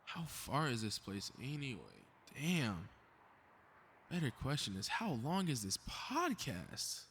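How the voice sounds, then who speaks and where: A man's voice: mid-range voice, sing-song, takes it slowly, a bit bright, breathy edge, exaggerated voice. A man playing a cocky, snarky superhero in a scripted audio roleplay, muttering to himself with sarcastic exasperation. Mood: exasperated. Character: cocky, snarky, meddling invisible male superhero grumbling to himself.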